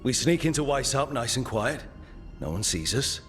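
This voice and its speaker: A man's voice: mid-range voice, big pitch swings, normal speed, fairly bright tone, faint music behind. A man playing a resolute, wry squad leader in a video game, speaking in a direct, dry, determined way. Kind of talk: naturalistic video game acting.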